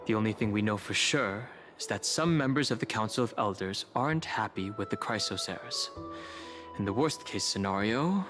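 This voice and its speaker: An actor playing a cautious, loyal young traveling companion in a video game, speaking in a measured, thoughtful way. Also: male voice, on the low side, animated, lightly acted. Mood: wary, pondering. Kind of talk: video game voice acting, conversational dialogue. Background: background music.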